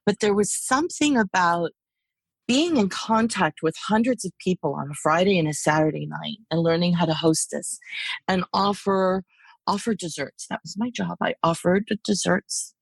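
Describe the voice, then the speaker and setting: A middle-aged woman artist, whose first language is Hungarian, talks reflectively in a podcast interview, animated and full of restarts. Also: on the low side, moderate pace, vocal fry, breathy edge, no room sound. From United States. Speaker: guest artist.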